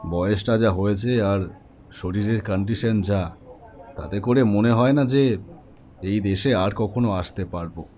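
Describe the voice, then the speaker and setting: An actor voicing an elderly, resigned widower in a horror cartoon, gently reflective. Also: male voice, lowish voice, a little slow, long pauses, lightly acted. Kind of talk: Bengali horror cartoon dialogue.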